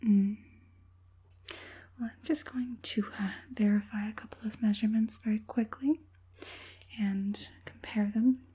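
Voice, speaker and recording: A woman playing a softly menacing evil witch puppet master in an ASMR roleplay, whispering breathily with a coaxing, politely sinister manner. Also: medium pitch, steady tone, a little slow, pauses often, husky. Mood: meticulous, gentle.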